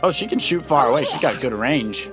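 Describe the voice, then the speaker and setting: A man's voice: medium pitch, lively intonation, a little fast. A man, probably middle-aged, giving casual gameplay commentary and explaining moves like a coach. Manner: advising, explanatory. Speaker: father co-hosting a children's let's play. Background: video game music.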